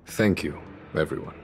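A man playing a calm, weary, gentle general in a video game, speaking in an unhurried way. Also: close to an everyday voice.

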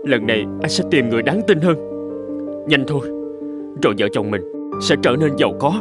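An actor playing a naive, obsessed young husband in an animated folk tale, earnest and pleading, stammering when flustered. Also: male voice, medium pitch, a little fast, natural voice. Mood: apologetic, insistent. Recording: music bed under the voice.

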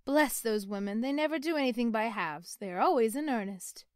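A woman voicing a jovial young man who talks loudly and cheerfully, in a storybook reading. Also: on the high side, animated, brisk, no room sound, clean studio sound, natural voice. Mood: hearty, jovial. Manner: loud, boisterous and cheerful.

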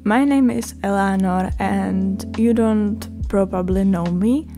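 A young woman with a Czech accent narrates slowly, pausing often and restarting her sentences. Her voice is mellow and soft at an ordinary pitch. Faint music plays underneath. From Czech Republic. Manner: halting, many fillers and restarts. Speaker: art vlogger. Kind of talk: voice-over narration.

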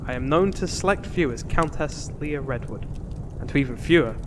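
A man acts out a composed, commanding master in a comic fantasy audio drama. The male voice sits a little high and sounds courteous yet scolding and theatrical. It rings in a big reverberant space with clear noise behind it. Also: animated, moderate pace, lightly acted. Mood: calm, courteous. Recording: long hall reverb, clear background noise.

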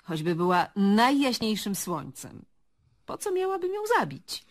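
A woman's voice: medium pitch, huge pitch swings, a little slow. An actress playing an evasive woman journalist in a radio drama, cornered and indignant in her denials. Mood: scornful, defensive. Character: defensive, evasive female journalist cornered as murder suspect. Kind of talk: Polish radio drama acting.

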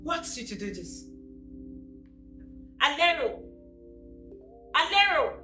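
A woman playing an alarmed, angry head of a household berating the help in a film drama, sharp and forceful. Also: a little high, expressive, normal speed, soft background music, close to an everyday voice. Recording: roomy echo.

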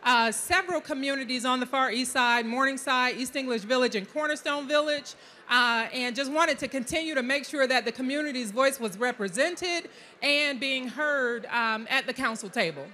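A middle-aged woman from Detroit, a city council member, speaking in an earnest, measured way on a conference panel into a handheld mic. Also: sits high, sharp tone. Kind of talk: panel discussion answers. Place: conference stage, indoors.

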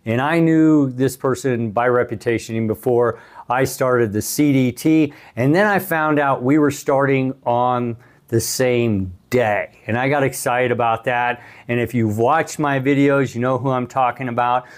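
A man's voice: medium pitch, steady tone, unhurried. Man in his sixties, casual vlogger. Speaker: vlogger, thru-hiker interviewing another hiker. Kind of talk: casual vlog talk to camera. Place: small hotel room, indoors. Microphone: clip-on lavalier on the chest.

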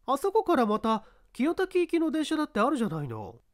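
Woman performing a sassy young woman, high and lively. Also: fairly quick, no echo, studio quality. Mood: teasing, defiant. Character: bold, teasing, defiant young woman. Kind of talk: audiobook character acting.

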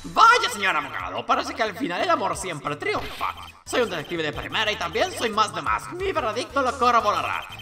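Man playing a sharp, gloating detective villain. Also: high-pitched, huge pitch swings, fairly quick, faint music behind, voice pushed up, full character voice. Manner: flamboyant, boastful. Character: gloating, theatrical, self-important male detective villain.

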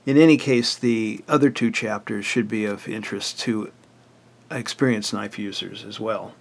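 An older man with a hoarse, raspy voice who often clears his throat, walking plainly through a book he wrote. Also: lowish voice, fairly even intonation, moderate pace. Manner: plain, matter-of-fact, list-like explanation. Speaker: author presenting his book. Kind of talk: casual book walkthrough.

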